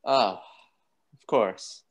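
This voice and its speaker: A man playing a courteous, troubled gentleman doctor with polite, formal speech in a radio play. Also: extremely slow. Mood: preoccupied.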